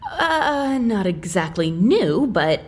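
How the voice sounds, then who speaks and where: A woman playing a nervous, conscientious agent in an audio drama, speaking in a halting, hesitant way. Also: mid-range voice, big pitch swings. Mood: awkward.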